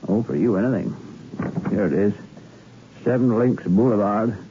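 Low, tinny-sounding wry newspaperman played by a man. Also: normal speed, natural voice. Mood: wry, helpful. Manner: offhand, musing. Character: wry, obliging newspaperman digging through files. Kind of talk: 1960s radio thriller acting. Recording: muffled phone-like hissy old radio recording.

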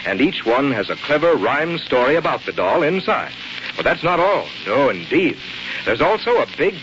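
Male American announcer, lively and higher-pitched; muffled old radio broadcast. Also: slight background noise. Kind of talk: 1940s radio announcing, scripted.

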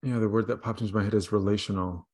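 A man's voice: on the low side, fairly even intonation, rapid-fire, a little mellow, slightly rough. A man hosting an interview, asking thoughtful questions with many ums. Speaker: interviewer, host.

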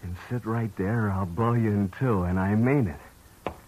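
A man's voice: on the low side, moderate pace, darkish tone, slight background noise, lightly acted, low-quality recording. A man playing a snarling gangster henchman. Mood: menacing. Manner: threatening, snarling. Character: menacing male gangster henchman, tough and jumpy. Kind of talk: 1940s radio acting.

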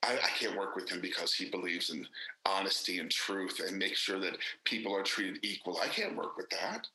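A man's voice: low-pitched, lively intonation, normal speed, pushed and bright, reverberant, low-quality recording. A man, an actor and director, speaking candidly and emphatically in a casual interview, with many fillers and restarts.

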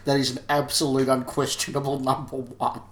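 Fast-talking man in an echoey room. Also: medium pitch, little pitch movement, a loud crowd. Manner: quick, stammering restarts. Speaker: podcast co-host. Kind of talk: casual chat.

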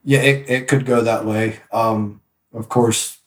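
A man's voice: medium pitch, takes it slowly, slight background noise. Man telling stories in an echoey room. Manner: relaxed, rambling with many restarts and fillers. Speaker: podcast guest, real estate agent. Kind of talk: casual interview storytelling.

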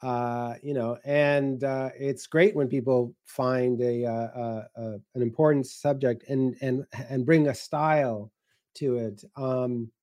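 A man talking casually on a panel as a writer and editor, hesitant and halting with many fillers. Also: medium pitch, steady tone, a little mellow.

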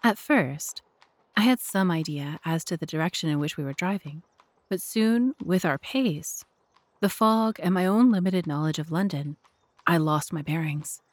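Low-pitched woman narrating, dry sound. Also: normal speed, no room sound. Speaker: narrator and host. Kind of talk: scripted audiobook narration.

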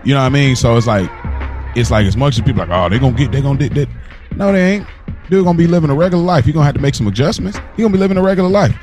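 An American man co-hosting a casual podcast, talking in an animated, slangy way and repeating phrases for emphasis. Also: mid-range voice, very fast. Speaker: podcast co-host. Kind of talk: casual hip-hop talk podcast. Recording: music playing underneath. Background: music.

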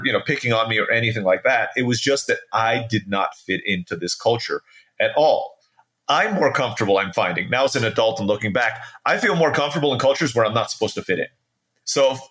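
Interview guest: American male, forties, bright forward voice, casual conversational storyteller. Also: mid-range voice. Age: 40s. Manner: conversational, peppered with "right?" tags.

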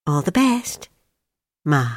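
A woman's voice: low-pitched, big pitch swings, slow, no room sound, studio quality, full character voice. An actress playing an affectionate, proud mother, reading out a chatty, homely wartime letter to her son. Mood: chatty, fond. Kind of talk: read-aloud wartime family letter.

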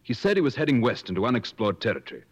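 Earnest English gentleman, muffled radio sound. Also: medium pitch, very animated, racing. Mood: insistent. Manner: polite but persistent. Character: earnest, insistent English gentleman seeking a lost brother-in-law. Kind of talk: 1950s radio drama acting and narration. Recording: muffled old radio broadcast.

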